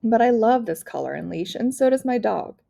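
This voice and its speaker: A woman's voice: fairly high pitch, lively intonation, normal speed, vocal fry, mellow, voice pushed up. A woman voicing an earnest, eager young girl in a storybook, pleading sincerely in folksy phrases. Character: earnest, eager young Southern preacher's daughter. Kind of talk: storybook read-aloud character voice.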